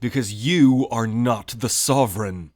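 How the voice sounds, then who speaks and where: A man acts out a young prince of firm principle in a fantasy roleplay. His voice sits at a middle pitch. He speaks with earnest loyalty and courtly politeness. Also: unhurried, darkish tone, natural voice. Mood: firm, courteous. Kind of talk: fantasy audio roleplay acting.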